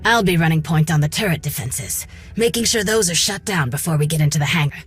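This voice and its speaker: A woman's voice: deep voice, expressive, fast, husky, soft background music, heavily acted. A woman playing a determined, blunt young rebel fighting for her home, urgent and no-nonsense, in a video game cutscene.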